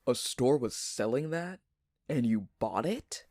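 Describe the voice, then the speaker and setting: An actor playing an earnest, modest young alchemist in an audio drama, explaining things politely and matter-of-factly. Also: male voice, medium pitch, expressive, slow pace, a little mellow, no echo, clean studio sound. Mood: concerned, explaining.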